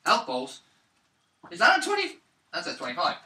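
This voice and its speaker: A man with a very bright, slightly high voice, excitable and jokey as he chats over a tabletop game. His pitch moves around freely. He is recorded some distance from the mic in a roomy space. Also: normal speed. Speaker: player in a tabletop role-playing game. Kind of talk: casual chat around a gaming table. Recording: roomy, distant mic, faint noise and clicks.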